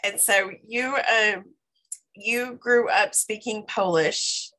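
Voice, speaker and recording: Brief: woman interviewer, unhurried, bright clear tone, gently prompting. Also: mid-range voice, fairly even intonation, poor audio. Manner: slow, gentle prompting questions. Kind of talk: interview questions.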